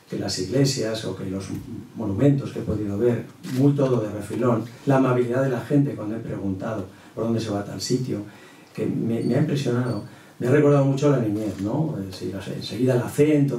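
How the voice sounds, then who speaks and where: A middle-aged man with a Castilian Spanish accent opens a lecture informally. His voice is dark and medium-pitched, and he often checks in with short tag questions. The sound is roomy, picked up from some distance. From Zaragoza, Spain. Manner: conversational, frequent tag questions. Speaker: university professor, guest lecturer. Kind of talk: informal opening of a public lecture. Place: lecture room at a table. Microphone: gooseneck table mic, distant pickup. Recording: echoey hall, distant microphone.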